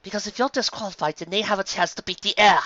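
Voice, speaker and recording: A man's voice: high-pitched, fast talker, bright, forceful tone, dry recording, highs cut off, voice pushed up. A man voicing an earnest, determined young farmer mare in a story, with a pleading, insistent manner. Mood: explaining.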